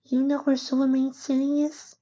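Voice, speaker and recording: A man's voice: very high voice, monotone, normal speed, mellow, muffled recording, pitched above the performer's own voice. A man voicing a small, shy, lonely girl pony in a timid, hesitant murmur for a story. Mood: hopeful.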